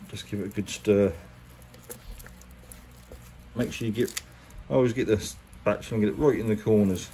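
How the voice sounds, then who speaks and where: A man's voice: fairly low pitch, normal speed, long pauses, warm tone. A man with an English accent giving a chatty, colloquial hands-on tutorial in a casual, plain-spoken way. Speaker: boat restorer presenting a how-to. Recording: clear background noise, handheld workshop recording.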